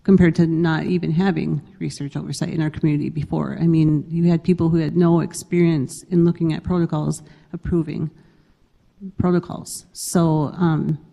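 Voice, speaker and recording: Woman, panel speaker, dark mellow tone, conversational with fillers, hall reverb. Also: low-pitched, little pitch movement, normal speed, creaky. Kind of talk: panel discussion. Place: conference hall.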